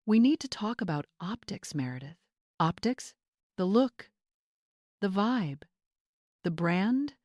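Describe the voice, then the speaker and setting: A woman's voice: mid-range voice, sing-song, slow pace, pauses often, a little mellow, no room sound, studio quality. Woman voicing a haughty young influencer bride. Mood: snobbish, self-important. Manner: condescending, affected. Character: smug young social-climbing influencer bride, haughty and dismissive. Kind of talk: dramatized audiobook character voice.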